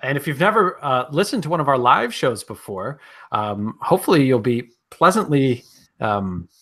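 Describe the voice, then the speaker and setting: Bright-voiced man hosting casually. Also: mid-range voice, very animated, moderate pace. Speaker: host. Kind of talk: casual live show chat.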